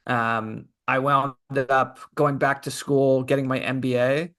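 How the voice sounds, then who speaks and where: A man answering interview questions at a mid-range pitch. He talks in a relaxed, reflective way, pausing on fillers as he thinks. He comes across as an author talking through his story. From Canada. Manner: relaxed, thoughtful, many fillers. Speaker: podcast guest, author.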